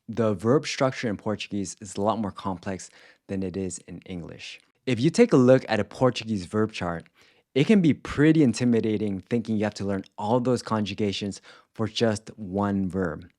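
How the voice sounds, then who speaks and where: A man presenting a language lesson in scripted instructional narration, explaining clearly and enunciating example words with care. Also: lowish voice, darkish tone. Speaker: language teacher presenting a video series.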